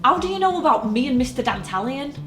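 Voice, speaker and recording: A woman's voice: sits high, animated, fast talker. A woman playing a bewildered, sceptical young office worker in an audio drama, blunt and questioning. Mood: confused, anxious. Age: young adult. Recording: echoey with music underneath.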